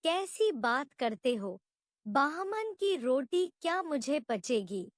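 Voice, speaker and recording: A woman's voice: high-pitched, big pitch swings, slow pace, no room sound, clean studio sound, heavily acted. A woman storyteller voicing a timid, weary old male labourer with a meek, hesitant manner. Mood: weary, humble.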